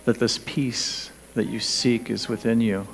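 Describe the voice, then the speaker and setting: A man leading a guided meditation, speaking gently and soothingly in short, spaced phrases with pauses. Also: mid-range voice, level tone, creaky, slight background noise, low-quality recording. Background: background music.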